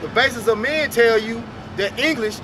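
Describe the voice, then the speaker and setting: A man's voice: very high voice, moderate pace. A man street preaching, firing off rhetorical questions and urging his listeners. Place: outdoors on a city street. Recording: outdoor street recording with traffic noise.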